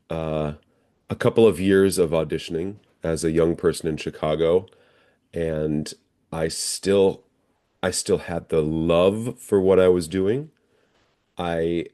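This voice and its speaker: A man with an American accent and a deep voice speaks as an interview guest. He answers conversationally and reflectively, working through his explanations step by step. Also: warm tone. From northern Minnesota, United States. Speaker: interview guest, professional stage actor.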